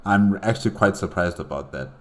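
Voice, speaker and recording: A man's voice: low-pitched, fairly quick. A man hosting a reaction video, commenting casually on what he hears.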